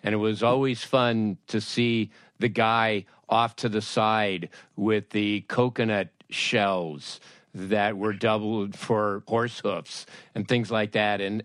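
Guy interviewing on a podcast, kinda low voice, no room sound at all. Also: fairly bright tone, no room sound, clean studio sound. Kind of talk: conversational interview.

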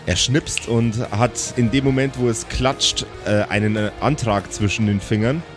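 A man improvising narration as game master of a tabletop audio play, halting and joking as he sets the scene. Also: fairly low pitch, music in the background.

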